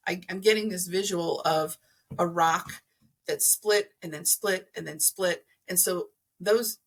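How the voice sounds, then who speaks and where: Slightly husky woman explaining conversationally. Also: on the low side, a little slow. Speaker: podcast guest, energy healer. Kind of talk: conversational explaining of crystals and chakras.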